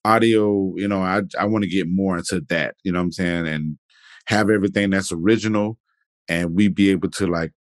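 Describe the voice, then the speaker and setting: A man's voice: low-pitched, fairly even intonation, fairly quick, no echo, clean studio sound. A man hosting a podcast interview, talking loosely with lots of fillers and restarts. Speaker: podcast host. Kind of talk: casual interview chat.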